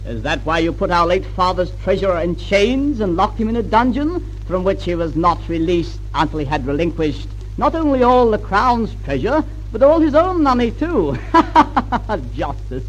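An actor playing a sly, sardonic prince who taunts in a theatrical way in an old-time radio historical drama. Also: male voice, high voice, big pitch swings, normal speed, slight background noise, poor audio. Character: sly, mocking prince, younger brother of the king. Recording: vintage 1940s-50s radio recording.

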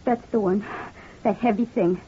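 An actress playing a distraught, halting wife in an old radio crime drama. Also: female voice, mid-range voice, fairly even intonation, dark tone, husky. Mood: shaken. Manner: hesitant, emotional, halting. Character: distraught wife claiming she shot her abusive husband. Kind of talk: 1940s-50s radio crime drama acting. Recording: muffled, thin old-time radio recording.

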